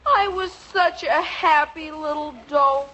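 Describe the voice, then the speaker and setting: A woman's voice: high voice, slow, sharp tone, heavily acted. An actress playing a glum, stubborn, whiny little girl in a 1950s radio sitcom. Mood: miserable. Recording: noisy, muffled old radio recording.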